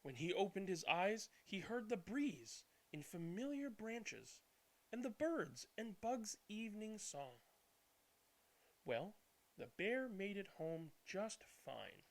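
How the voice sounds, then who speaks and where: A male storyteller with a slightly high voice reads a picture book aloud. His delivery swings widely in pitch and leans dramatic, stretching some words and hushing others. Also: big pitch swings, slow. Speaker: read-aloud storyteller and channel host. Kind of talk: expressive children's picture book read-aloud, then casual chat.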